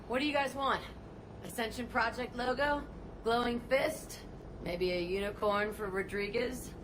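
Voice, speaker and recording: A woman's voice: on the high side, measured pace, long pauses, natural voice. A woman plays a brash, foul-mouthed tattooed fighter in a sci-fi game. Her mid-range voice is crude and mocking. Noticeable background noise sits under her lines.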